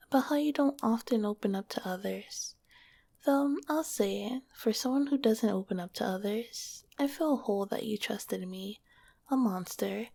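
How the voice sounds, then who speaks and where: A woman voicing a gentle, teasing female slime monster girl in a whispered ASMR roleplay, speaking softly into a close microphone. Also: medium pitch, gravelly, no room sound. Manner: soft whispering, teasing then tender. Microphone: close mic.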